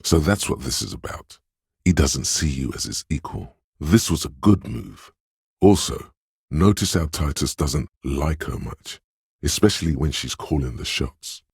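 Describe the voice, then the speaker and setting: An actor voicing a sly inner voice in a video game, reading people and coaching in a measured, knowing, insinuating way with a gravelly, husky delivery. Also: male voice, rumbling low, expressive, darkish tone, no echo, clean studio sound, full character voice. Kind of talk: scripted video game narration.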